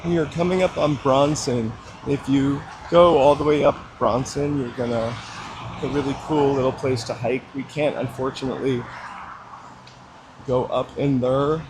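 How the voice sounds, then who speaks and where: A man's voice: mid-range voice, lively intonation, a little slow, background noise. A man chatting casually to live viewers while walking outdoors on a city street, rambling with lots of fillers, his voice a little froggy with a slight dry cough. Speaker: livestream walking host.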